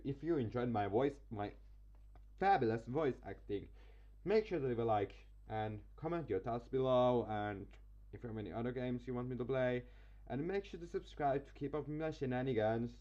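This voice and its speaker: A man's voice: medium pitch, normal speed, mellow, dull audio. A man doing an amateur let's play, reading a visual novel aloud with casual commentary and stumbling over words. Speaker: let's player. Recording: music behind.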